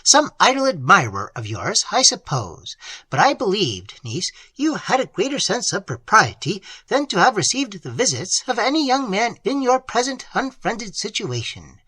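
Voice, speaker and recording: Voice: mid-range voice, fairly bright tone, husky, no echo, pitched above the performer's own voice, exaggerated voice, rough recording. A reader voicing a haughty, scolding middle-aged aunt in an audiobook, with a self-important, sneering manner. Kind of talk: audiobook character voice.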